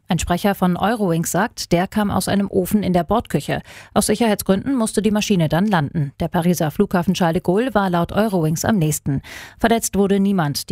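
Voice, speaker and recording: A woman's voice: mid-range voice, dark tone, dry recording, studio quality. A woman giving a scripted radio report as a news reporter.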